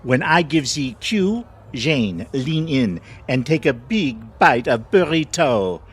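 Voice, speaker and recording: An actor playing a pompous, exasperated director with a French accent in an audio comedy. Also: male voice, medium pitch, very animated, slow, husky, heavily acted. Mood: bossy, grand. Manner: pompous, theatrical. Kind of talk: audio theatre comedy acting.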